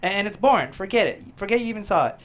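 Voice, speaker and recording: Man acting nervously cheerful buddy, swooping pitch. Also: expressive, rapid-fire, fairly bright tone. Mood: nervous, forced cheer. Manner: eager, stammering, evasive. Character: nervous, overly cheerful male small-town buddy hiding something. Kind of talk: table read acting.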